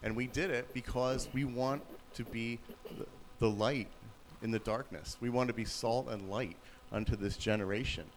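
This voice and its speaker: A man with a very low voice, a youth pastor, telling his story in a relaxed, plain-spoken way in a church. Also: moderate pace, slight background noise. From Pennsylvania, USA.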